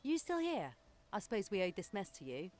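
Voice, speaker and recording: A woman's voice: mid-range voice, huge pitch swings, fairly quick, darkish tone. A woman playing a blunt noblewoman envoy in a fantasy game, brusque and sardonic. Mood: brusque, irritated. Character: blunt, gruff noblewoman envoy, impatient with formalities.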